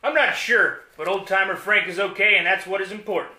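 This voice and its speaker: A man's voice: high voice, a little fast, sharp tone, reverberant. A man playing an earnest, dashing cowboy hero in a comedy western radio play, gallant in manner. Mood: resolute.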